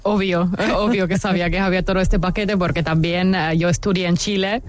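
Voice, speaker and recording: A woman's voice: fairly low pitch, steady tone, brisk. A Finnish woman, a non-native speaker, chatting casually and easily as a radio guest. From Finland. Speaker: journalist guest.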